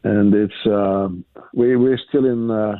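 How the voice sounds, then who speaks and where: A priest from Romania, calling in to a radio show. He speaks in a low voice and stops often to search for words. The sound is dry and thin, coming through a tinny phone line. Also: fairly even intonation, dry recording. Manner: halting, many hesitations. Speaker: caller, priest.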